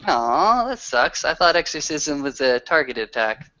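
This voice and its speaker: A man's voice: a little high, sing-song, unhurried, pushed and bright. A man chatting casually as a player in a tabletop roleplaying game. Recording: dull, lo-fi group session recording.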